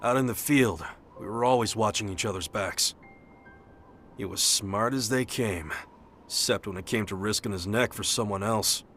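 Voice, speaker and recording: An actor playing an earnest, rough-edged young American soldier devoted to his missing partner, speaking plainly and colloquially in a game cutscene. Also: on the low side, moderate pace, fairly bright tone, close to an everyday voice. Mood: fond, determined. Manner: colloquial, wistful, plain-spoken. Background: music.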